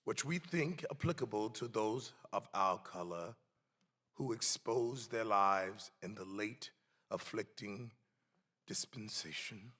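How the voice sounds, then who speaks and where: A man giving a solemn, emphatic dramatic reading of a historical text in a deliberate, theatrical way. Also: fairly low pitch, fairly bright tone. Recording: echoey hall with long reverb.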